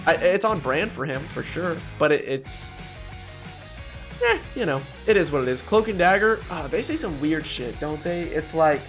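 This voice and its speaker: A man's voice: a little high, moderate pace, faint music behind. A young man giving casual, opinionated, joking commentary as he ranks things in a gaming video. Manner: animated, joking, mildly profane.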